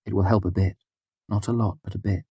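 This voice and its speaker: A male narrator plays a worldly storybook woman, lifted well up in pitch and husky. She is matter-of-fact and arch, scolding hard but caring underneath. The sound is close and dry. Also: steady tone, brisk, dark tone, no echo. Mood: softly sympathetic. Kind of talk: audiobook character dialogue.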